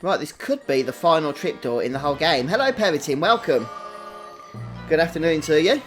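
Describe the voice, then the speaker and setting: Male streamer, British, fairly high voice, chatty and casual gameplay commentary over faint music. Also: lively intonation, fairly quick. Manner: chatty, greets viewers individually. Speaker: game livestream host.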